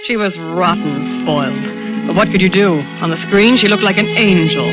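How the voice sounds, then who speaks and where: A woman's voice: lowish voice, normal speed, close to an everyday voice. An actress narrating as a female stand-in in a wry, confiding manner, in 1940s radio drama style. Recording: lo-fi old radio broadcast with music.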